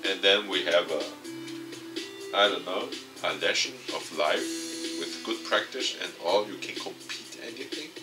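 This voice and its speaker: A man's voice: low voice, a little slow, recorded from a distance, loud music behind the voice, poor audio. A man reading a visual novel aloud and commenting as he plays, as a gaming video host. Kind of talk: let's play, reading game text aloud.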